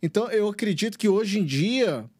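A male interviewer with a high voice asks long, earnest questions. He circles around his point with repetitions and restarts. The sound is dry and close. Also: expressive, normal speed, darkish tone, no echo. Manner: earnest, rambling, repeats himself.

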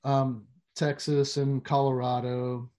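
A man's voice: medium pitch, little pitch movement, warm tone. A man hosting a podcast interview, speaking with frequent fillers and restarts. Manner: halting, many fillers and restarts. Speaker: podcast host.

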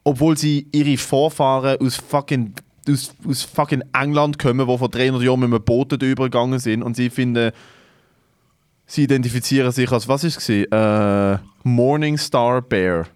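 A man's voice: mid-range voice, steady tone, moderate pace, clear, resonant tone, dry recording, studio quality. A man with a Swiss German accent in casual, opinionated debate, swearing and dropping in English slang.

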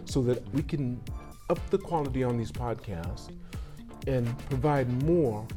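A middle-aged man hosting a casual interview, chatty and rambling, correcting himself and firing quick follow-up questions. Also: mid-range voice, expressive, music in the background. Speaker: podcast host.

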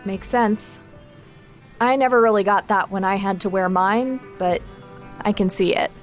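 A woman's voice: medium pitch, a little slow, soft background music, lightly acted. An actress playing a confident, supportive young woman in an audio drama, talking in a casual, frank, dry way. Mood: reflective, encouraging. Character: confident, wry, supportive young woman training her friend. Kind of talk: naturalistic audio drama acting.

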